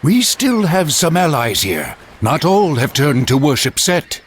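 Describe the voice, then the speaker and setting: A man's voice: on the high side, very animated, unhurried, a little hiss or hum. A man playing an earnest, loyal warrior ally in a video game cutscene. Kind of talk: scripted game cutscene dialogue. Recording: music behind the voice.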